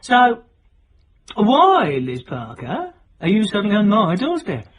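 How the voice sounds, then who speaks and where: A middle-aged, working-class British chancer in a radio play. He is cocky and unsentimental, with a husky voice that swings widely in pitch. His talk is clipped and sardonic. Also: huge pitch swings, takes it slowly, full character voice. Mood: cocky, teasing. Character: hard, cocky, self-made working-class British man, unsentimental schemer. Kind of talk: radio drama dialogue.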